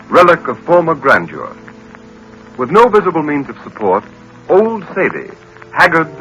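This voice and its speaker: A man's voice: medium pitch, bright, forceful tone, poor audio. An American man announcing and narrating in vintage 1940s radio style, with dramatic, emphatic delivery.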